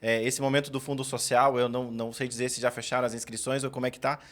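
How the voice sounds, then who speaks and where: Halting, friendly interviewer man. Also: mid-range voice, little pitch movement, fairly bright tone. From Salvador das Missões, southern Brazil. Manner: hesitant, repeats words, friendly.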